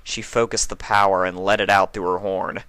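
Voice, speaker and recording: A man's voice: deep voice, little pitch movement, moderate pace, fairly bright tone, clean tone, dry recording. A man speaking as a reader of fan fiction stories. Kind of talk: storybook narration and casual sign-off.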